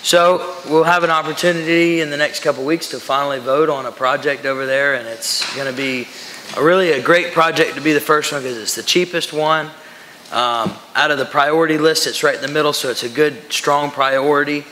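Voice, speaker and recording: A man makes informal remarks as a council member, his voice bright and forceful. The sound carries long hall reverberation, with some noise in the room. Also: a little high, steady tone, a little fast. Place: large council meeting room. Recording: echoey hall with background noise.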